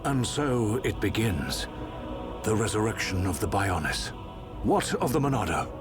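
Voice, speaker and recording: An actor playing a solemn, commanding older emperor in an animated game story, grave and deliberate. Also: male voice, lowish voice, music in the background.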